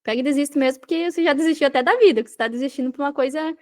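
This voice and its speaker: A Brazilian woman with a clearly high-pitched voice speaks as a podcast guest. She explains and advises conversationally, stressing her points emphatically and repeating phrases to drive them home. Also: rapid-fire. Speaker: podcast guest, digital marketing mentor.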